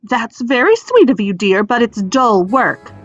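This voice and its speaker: A woman's voice: a little high, big pitch swings, a little slow, highs cut off, soft background music. Lady doing a fussy snappy witch mom from a kids book, voice swings up and down, bossy but loving. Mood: fond. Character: scolding, bustling, fond mother witch.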